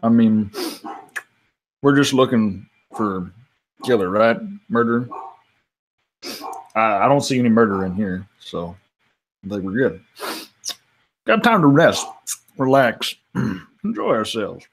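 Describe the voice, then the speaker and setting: A man improvising casually as a player in a tabletop game, with a joking, halting and conversational way of talking. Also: lowish voice, very animated, a little hiss or hum, rough recording. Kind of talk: casual in-character improv banter.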